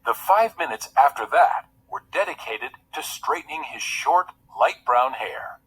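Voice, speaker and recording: A man's voice: mid-range voice, expressive, a little slow, a bit bright, slight background noise, rough recording. A man reading a children's story aloud as its narrator, in a scripted storytelling style.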